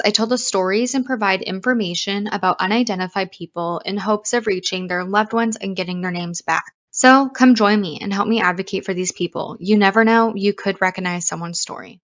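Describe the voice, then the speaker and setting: A woman's voice: medium pitch, a bit bright, dull audio. A woman podcaster reading a scripted promo, addressing listeners directly and earnestly. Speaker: podcaster promoting their show.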